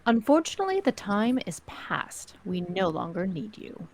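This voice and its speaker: A woman playing a ruthless, icy crime syndicate boss in a dramatic script read, imperious, deliberate and menacing. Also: mid-range voice, sing-song, a little mellow. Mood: cold menace. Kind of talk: fan script table read, dramatic acting.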